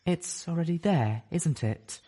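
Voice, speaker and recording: A man voicing a refined, fragile unicorn mare healer who speaks softly and pleadingly. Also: fairly high pitch, lively intonation, measured pace, slightly rough, pitched above the performer's own voice. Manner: soft, pleading.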